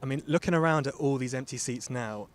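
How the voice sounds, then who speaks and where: Brisk male radio interviewer. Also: mid-range voice. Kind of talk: interview questions. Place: stadium.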